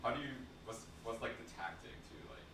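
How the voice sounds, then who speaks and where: A man's voice: mid-range voice, expressive, brisk. A man in the audience asking a hesitant question, full of fillers. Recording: echoey room, distant mic, background noise.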